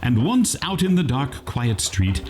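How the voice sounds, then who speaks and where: A man's voice: medium pitch, very animated, unhurried, darkish tone, slight background noise. A man playing the straight-faced narrator of a comic radio play, reading in storybook style.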